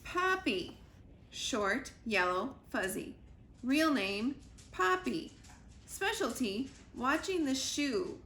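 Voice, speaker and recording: A woman playing a laid-back retired rescue dog narrating a storybook, dry and a little weary, with a lively, animated lilt. Slow and bright, with a gravelly edge in an echoey room. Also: high voice, a little hiss or hum, full character voice.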